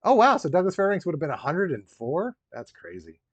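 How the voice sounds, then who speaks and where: A man with a slightly high voice hosts a casual live stream for collectors. He rambles along, tossing in joking asides as he chats with viewers. The sound is roomy and a little dull and lo-fi. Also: big pitch swings, a little fast. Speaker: live stream host, toy collector unboxing a figure.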